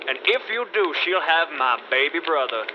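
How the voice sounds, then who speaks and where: A sneering defiant young prince. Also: male voice, a little high, very animated, moderate pace, muffled recording, background noise. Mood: taunting. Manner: sneering, taunting. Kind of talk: rhyming verse radio drama.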